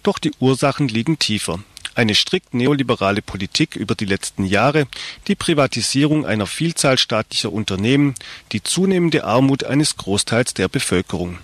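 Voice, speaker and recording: A man's voice: medium pitch, expressive, normal speed, a bit bright, no echo. A man presenting a radio news magazine, reading scripted reports and introductions.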